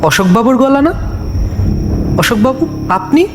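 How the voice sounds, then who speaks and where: High-voiced man telling a tense ghost story. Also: expressive, moderate pace, background noise. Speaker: narrator and lead teacher. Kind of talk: first-person horror story narration.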